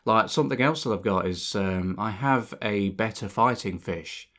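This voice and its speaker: A man's voice: on the low side, moderate pace, a bit bright. A young man talking over gameplay in a rambling, reflective way, a bit bunged up and sore-throated from a cold, with many fillers and restarts. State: congested from a cold. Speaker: channel host. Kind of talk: rambling casual monologue. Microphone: voice-over over gameplay footage.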